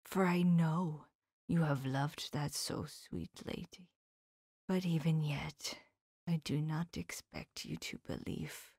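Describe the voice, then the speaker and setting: A woman doing a hushed, grave old professor. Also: low voice, expressive, gravelly, slightly rough, dry recording, clean studio sound, full character voice. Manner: hushed, often whispered, slow and solemn; old-fashioned formal phrasing. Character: elderly male professor, grave, tender and sorrowful. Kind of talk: audiobook character voice.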